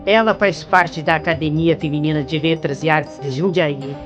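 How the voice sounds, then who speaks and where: A man's voice: fairly high pitch, steady tone, normal speed, fairly bright tone, highs cut off, faint music behind. A man with a Brazilian Portuguese accent hosting a casual interview, often repeating words as he gets going. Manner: stammering repetitions. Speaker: interviewer host.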